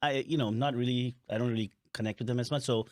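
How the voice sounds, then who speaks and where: A young man hosting a podcast, relaxed and rambling, laughing easily as he talks. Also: mid-range voice, fast, no room sound, studio quality. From born in Africa, partly raised in Nepal. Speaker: podcast host. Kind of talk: casual chat.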